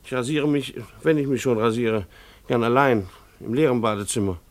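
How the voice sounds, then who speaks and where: An actor playing a harried, middle-aged schoolteacher husband in a German radio play, with dry, formal, hesitant phrasing. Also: male voice, mid-range voice, normal speed, dry recording, lightly acted. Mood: weary, uneasy. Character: harried, wry husband, a schoolteacher and family father.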